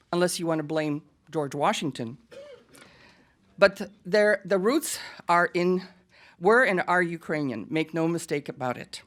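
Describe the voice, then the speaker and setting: Casting: American woman with a lowish voice, emphatic podium speaker. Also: fairly bright tone. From United States. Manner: earnest and emphatic, with pointed asides. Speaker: guest speaker at a press event. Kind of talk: prepared speech at a podium. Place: press club hall.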